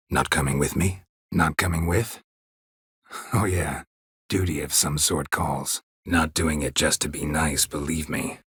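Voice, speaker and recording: A man playing a gruff, stoic, sardonic monster-hunting mercenary in a video game, terse and deadpan with a gravelly voice. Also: rumbling low, no echo, clean studio sound, heavily acted. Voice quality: gravelly, husky. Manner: terse, deadpan, clipped sentences. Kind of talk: video game dialogue acting.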